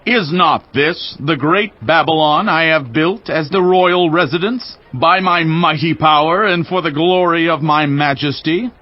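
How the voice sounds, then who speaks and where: A man's voice: fairly high pitch, unhurried, hardly any pauses, natural voice. An actor playing a majestic king of ancient Babylon in a dramatized scripture reading, with a declamatory, regal delivery. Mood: boastful. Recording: dull lo-fi audio with faint music.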